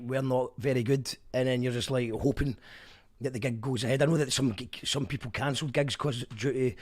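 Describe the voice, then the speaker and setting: A man hosts a casual radio chat at a mid pitch. He talks in a friendly, halting way, with fillers and repeated words as he gathers his thoughts. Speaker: radio show host. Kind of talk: casual interview chat, reading listener comments.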